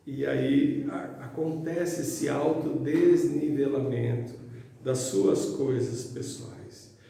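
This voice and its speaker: A middle-aged Brazilian man delivers an informal, conversational sermon. His voice is mellow with a gravelly creak, and he speaks colloquially, as if chatting with his listeners. The room rings heavily around him. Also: mid-range voice, fairly even intonation, takes it slowly. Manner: conversational, colloquial, acts out imagined objections. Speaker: preacher giving a spiritist talk. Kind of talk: informal motivational sermon to an audience. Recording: very echoey hall, distant microphone.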